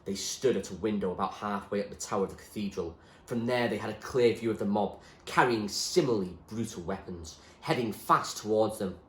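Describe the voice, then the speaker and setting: A man reading a novel aloud as expressive storybook narration, like a teacher reading to a class. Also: on the low side, fairly quick, reverberant.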